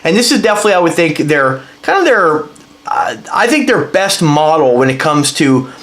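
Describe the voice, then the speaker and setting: A man's voice: on the high side, sing-song, normal speed, fairly bright tone, roomy sound. A man with a congested voice from a cold, rambling enthusiastically through a casual product review as he handles the item. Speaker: watch reviewer.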